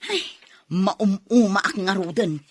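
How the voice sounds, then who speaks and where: A woman's voice: mid-range voice, slow, slightly rough, no room sound. A woman playing a weary but feisty put-upon wife and mother in a radio drama, with an Ilocano accent and a complaining, sighing manner. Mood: exasperated.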